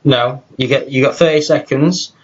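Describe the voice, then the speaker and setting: A man hosting a podcast and running a quiz game, explaining rules in a brisk casual way with quick restarts. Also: mid-range voice, slightly rough. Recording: dull lo-fi podcast audio.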